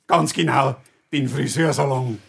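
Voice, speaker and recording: A man's voice: medium pitch, measured pace, gravelly, a bit bright, slight background noise. A man playing a pompous, hammy narrator in an exaggerated Bavarian folk comedy. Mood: pompous, amused. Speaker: actor at a radio play table read. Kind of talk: exaggerated folk-theatre announcer.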